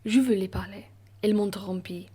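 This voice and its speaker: A woman playing a dignified, indignant aristocratic gentleman traveller in an amateur radio play, speaking formally and emphatically. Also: medium pitch, steady tone, normal speed, husky. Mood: grave, resigned.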